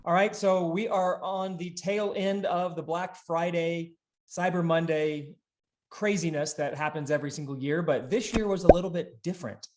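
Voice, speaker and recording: A man's voice: on the high side, steady tone, normal speed, darkish tone. A man in his thirties hosting a business podcast, explaining things conversationally and emphatically from a home office. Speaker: podcast host. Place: small home office, indoors. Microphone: video call setup. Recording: roomy, echoey home office.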